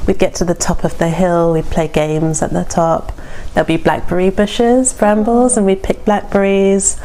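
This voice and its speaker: A woman's voice: on the low side, little pitch movement, moderate pace, warm tone, poor audio. A woman with a London accent chatting casually as a podcast co-host, with noise around her. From London, England. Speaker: podcast co-host being interviewed. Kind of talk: casual chat.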